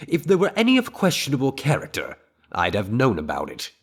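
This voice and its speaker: A man's voice: medium pitch, wildly swooping pitch, breathy edge, exaggerated voice. Casting: male actor as dignified senior pony chamberlain, formal, reproving, very varied intonation, radio drama. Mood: indignant. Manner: formal, measured diction, reproving. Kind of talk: fan-made radio drama acting.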